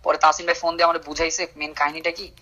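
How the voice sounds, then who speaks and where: Young man, bright tense voice, fast talking, pleading, husky lo-fi phone recording. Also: a little high, flat delivery. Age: young adult. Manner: pleading, apologetic. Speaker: vlogger. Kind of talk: heated phone call.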